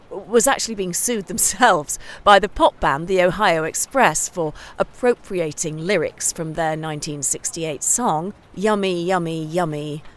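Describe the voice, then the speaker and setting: A woman reads a scripted news report. Her voice sits a bit low, with faint noise behind it.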